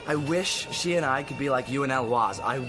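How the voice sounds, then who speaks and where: A man's voice: medium pitch, a little fast, over music, natural voice. An actor playing a naive, lovesick sixteen-year-old boy in a radio drama, speaking hesitantly and earnestly. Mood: embarrassed, confiding.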